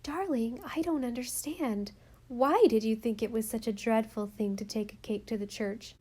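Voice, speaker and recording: A woman voicing a mother who reassures her young daughter in a calm, gently firm way. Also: on the high side, moderate pace, lightly acted. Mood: reassuring. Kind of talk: storybook reading.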